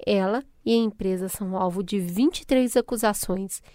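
A woman's voice: mid-range voice, moderate pace, no echo, clean studio sound. A Brazilian woman co-hosting a podcast, reading scripted news segments and chatting playfully with guests. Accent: Brazilian Portuguese. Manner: lively, playful slang. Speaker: podcast co-host. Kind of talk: scripted news narration and casual chat.

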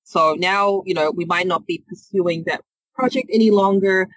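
A woman's voice: lowish voice, moderate pace, dry recording, poor audio. A woman with a New Zealand accent, a podcast guest who answers questions fluently but with many fillers and restarts. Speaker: guest, innovation leader.

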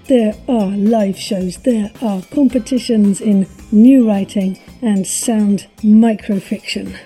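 Very mellow, husky woman over music. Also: mid-range voice. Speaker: podcast host. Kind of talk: podcast outro announcement.

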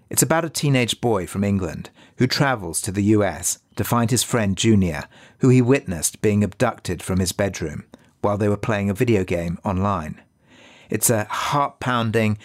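A man introducing a podcast as its creator, in a scripted promotional talk. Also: fairly low pitch.

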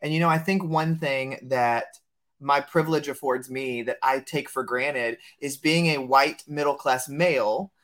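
A man's voice: mid-range voice, unhurried, a bit bright. A man hosting a casual conversation, talking in a reflective, thoughtful way as an educational leader. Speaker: podcast host, educational leader.

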